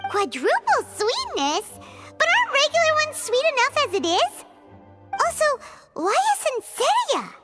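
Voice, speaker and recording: A woman voicing a small, childlike, excitable fairy companion in a video game, squeaky and exclamatory, talking about herself in the third person. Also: a little slow, exaggerated voice. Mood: surprised, teasing. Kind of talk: video game character acting. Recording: studio voice over game music.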